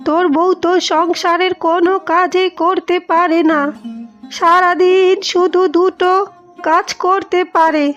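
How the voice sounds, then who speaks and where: A woman voicing a two-faced, complaining elderly mother-in-law in a cartoon, with feigned sweetness. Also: extremely high pitch. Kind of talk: cartoon dialogue acting. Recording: dull audio with music behind.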